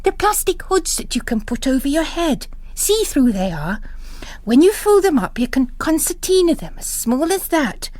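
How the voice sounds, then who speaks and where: Woman voicing a chatty young nun, high and lively. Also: moderate pace, no echo. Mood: chatty, eager. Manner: chatty, blunt, matter-of-fact. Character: spry, chatty young nun, practical and plain-spoken.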